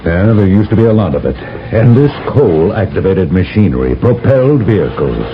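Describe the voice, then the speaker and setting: An actor playing a solemn older general who briefs an agent in a measured, lecturing way, in a 1970s radio drama. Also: male voice, low voice, sing-song, over music. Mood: grave. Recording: dull lo-fi old radio broadcast with faint hiss.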